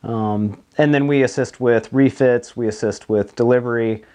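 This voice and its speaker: A man's voice: mid-range voice, fairly even intonation, normal speed, darkish tone. A man in his thirties speaking as a broker being interviewed, explaining in a conversational way in a small office. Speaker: marine broker, interview guest. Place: small office meeting room, indoors.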